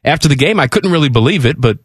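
A man's voice: fairly high pitch, expressive, quick pace, husky, dry recording, studio quality. Congested man hosting sports radio. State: stuffed-up, snotty cold. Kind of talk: morning radio banter.

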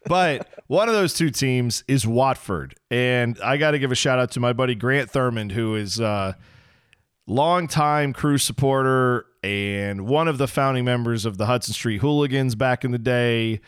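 Hoarse thirtysomething male podcast co-host, chatty and self-mocking, dry recording. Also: mid-range voice, moderate pace, no room sound. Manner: rambling, self-deprecating storyteller. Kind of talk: casual sports chat. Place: home basement.

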